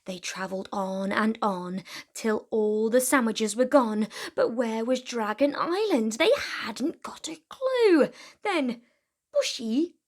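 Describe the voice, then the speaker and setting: A woman hosts a children's read-aloud in a fairly high, highly animated voice. She goes slowly, leaning dramatically on key words as a storyteller does.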